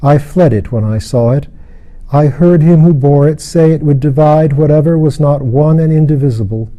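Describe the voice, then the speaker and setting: Storybook role: weary, proud, frightened princess near death, murmured pleas, very mellow, raised pitch, performed by a male narrator. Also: moderate pace, natural voice, rough recording. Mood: weary, murmuring. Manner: murmuring, moaning, pleading. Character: Lilith, weary dying princess, fearful, pleading, proud woman.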